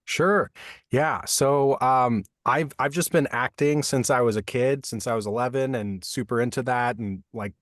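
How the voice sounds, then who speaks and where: Relaxed male voice actor, dry booth sound. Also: medium pitch, dry recording. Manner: thoughtful, frequent fillers like "like" and "you know". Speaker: voice actor guest. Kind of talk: casual interview answers. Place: home recording booth.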